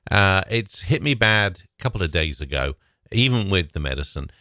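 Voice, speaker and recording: A man hosting a pre-recorded radio music show in a chatty, joking way, his voice deepened by allergies. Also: expressive, moderate pace, pushed and bright, dry recording, studio quality. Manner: chatty, self-joking asides.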